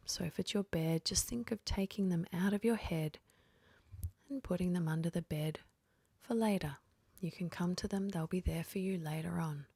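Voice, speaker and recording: Slow, breathy woman guiding sleep meditation. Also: fairly low pitch, no room sound. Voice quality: breathy, whispery, half-voiced. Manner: gentle, unhurried, with long pauses between phrases. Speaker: guided meditation instructor. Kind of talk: guided sleep meditation, soothing instruction.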